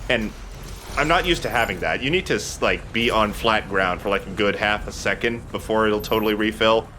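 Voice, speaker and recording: A man's voice: lowish voice, fairly quick, pushed and bright, slight background noise. A man hosting a video game let's play, chatting casually in a jokey, rambling, profane way. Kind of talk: casual gameplay commentary with co-commentary banter.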